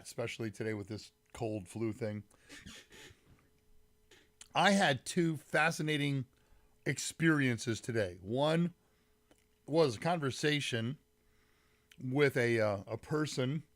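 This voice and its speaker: A man running a loose, friendly tech livestream, greeting viewers and wandering between topics. A summer cold leaves his voice slightly deep and congested. The sound is dry, with no room to it. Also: dry recording. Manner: rambling, greets chat viewers by name, frequent fillers. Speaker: livestream host. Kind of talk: casual live-stream chat with viewers. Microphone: mic set some distance away.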